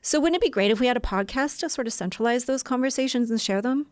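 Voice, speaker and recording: A woman's voice: on the high side, fast talker, darkish tone, dry recording. A woman co-hosting a casual podcast, upbeat and organized as she talks eagerly. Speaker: podcast co-host.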